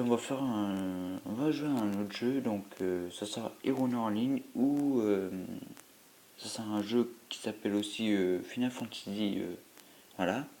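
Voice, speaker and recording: A man's voice: lowish voice, a little mellow. A man with a cold, tired after a sleepless night, giving rambling, hesitant commentary while playing a mobile game. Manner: rambling, hesitant, full of filler sounds. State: has a cold, stuffy. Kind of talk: gameplay commentary.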